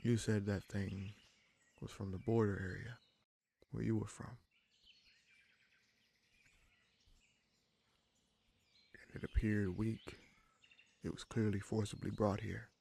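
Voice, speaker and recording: A man playing an easygoing, protective bandit leader in a fantasy roleplay audio drama, speaking in a halting, conversational way with a gravelly voice. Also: on the low side, little pitch movement, mellow. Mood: pensive, concerned. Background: birdsong, forest ambience.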